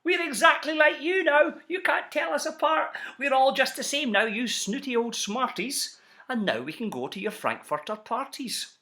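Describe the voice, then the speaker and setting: A man's voice: very high voice, animated, normal speed, sharp tone, voice pushed up, exaggerated voice. A man voicing snooty, boastful storybook creatures with a haughty, crowing manner. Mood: gleeful crowing. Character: snooty, boastful Sneech creatures, indignant and gloating.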